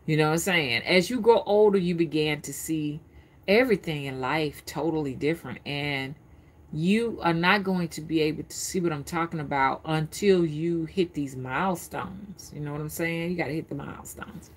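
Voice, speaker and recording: A woman's voice: low voice, moderate pace. A woman in her fifties talking casually on a live stream, rambling and often repeating her words as she goes. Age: 50s. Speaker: spiritual reader streaming live.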